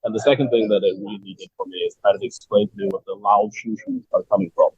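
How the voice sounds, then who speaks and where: A man with a very husky low voice speaks in a halting way, repeating words as he starts. His pitch swings widely, and the sound comes through dull and lo-fi. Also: huge pitch swings, a little mellow, dry recording. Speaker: podcast guest. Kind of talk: casual chat.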